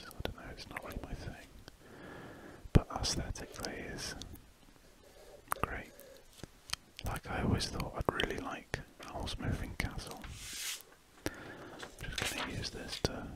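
Whispering British man, breathy ASMR. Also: low-quality recording. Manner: slow, musing, trailing off. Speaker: ASMR artist. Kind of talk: breathy whispered ASMR ramble while drawing. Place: indoors at a drawing desk.

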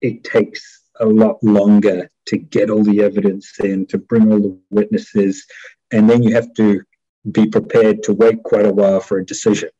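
Low-voiced New Zealand man, explaining. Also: level tone, normal speed, dark tone, breathy edge. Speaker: interview guest, war crimes prosecutor. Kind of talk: explanatory interview conversation. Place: small indoor room at home. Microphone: headset on a video call. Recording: dull video-call audio.

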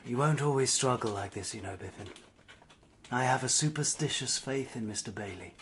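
An actor playing a proud, weary failed novelist in a British period drama, speaking formally and with restraint. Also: male voice, mid-range voice, moderate pace, a bit bright, a little hiss or hum, natural voice. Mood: gentle, resigned.

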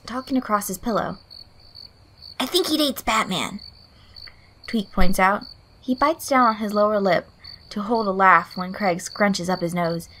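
A woman reading fan fiction aloud in an expressive storytelling style. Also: mid-range voice, a little hiss or hum, poor audio. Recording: soft music behind the voice. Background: guitar loop, night insect sounds.

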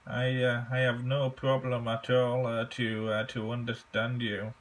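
Slow-talking man on ham radio. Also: medium pitch, completely flat delivery, fairly bright tone. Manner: halting, deliberate, repeats key phrases. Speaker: amateur radio operator. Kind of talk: ham radio contact, phonetic spelling.

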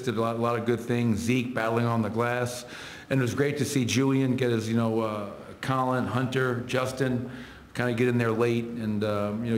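A man's voice: medium pitch, echoey room, slight background noise. A middle-aged man, a head coach, answering reporters at a post-game press conference, listing stats in short clipped phrases into table microphones. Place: arena press room.

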